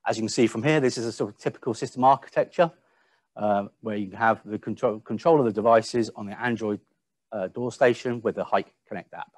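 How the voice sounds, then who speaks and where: A man giving a webinar-style product presentation, speaking a little haltingly and repeating the odd word. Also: lowish voice, no room sound, studio quality.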